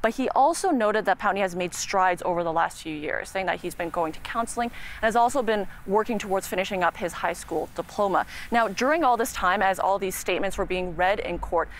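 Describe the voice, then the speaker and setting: A woman's voice: mid-range voice, a little fast, few pauses. Canadian woman reporting live over background noise. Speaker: TV news reporter. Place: outdoors outside a courthouse. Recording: clear background noise, outdoor ambience.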